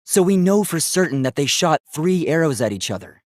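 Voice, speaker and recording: A polite young male robot from a video game, speaks in a fairly high voice with very animated intonation. The delivery is formal, articulate and earnestly logical. The sound is dry, with no room on it. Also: a little fast, no room sound. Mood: insistent, logical. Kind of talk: English video game voice acting.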